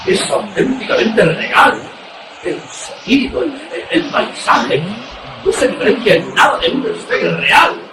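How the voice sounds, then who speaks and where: Man playing a high, echoing, excitable fighter pilot. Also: measured pace, crowd noise, heavily acted. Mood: exhilarated. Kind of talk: suspense radio drama acting. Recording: distant, echoey, noisy cockpit-like sound.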